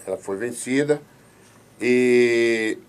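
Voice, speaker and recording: A man's voice: mid-range voice, takes it slowly, clear, resonant tone. A man hosting a radio show, reading listener questions and politely laying out hypothetical cases. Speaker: radio host.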